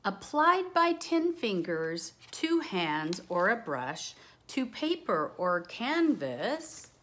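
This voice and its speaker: A woman reading a picture book aloud with expressive, dramatic emphasis, lingering on words and adding casual asides. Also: mid-range voice, slow pace. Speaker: read-aloud storyteller.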